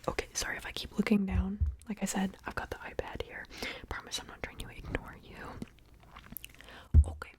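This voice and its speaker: Softly whispering woman, repeating words. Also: mid-range voice. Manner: whispered, soft and rambling, repeating words over and over; playful, chatty asides to viewers. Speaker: ASMR creator. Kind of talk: ASMR whispering.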